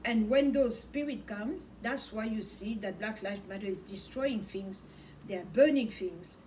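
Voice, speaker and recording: A woman's voice: medium pitch, unhurried, a little mellow. Impassioned woman preaching from across a room. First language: Swahili. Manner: impassioned, repeats words for emphasis, gestures a lot. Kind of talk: preaching monologue to camera. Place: small home study with bookshelves, indoors. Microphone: camera mic from across the room. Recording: distant mic with faint room noise.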